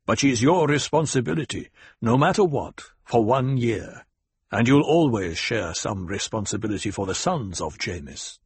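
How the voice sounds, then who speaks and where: Man playing a stern, deliberate desert chieftain. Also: mid-range voice, lively intonation, slightly rough, no room sound. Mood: formal. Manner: commanding, deliberate.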